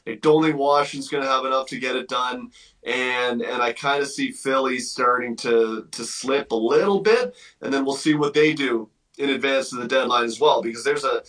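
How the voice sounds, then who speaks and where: A man's voice: medium pitch, a bit bright, far from the mic, poor audio. A man giving opinionated hockey analysis as a guest on a sports radio interview, conversational and emphatic, thinking aloud as he goes.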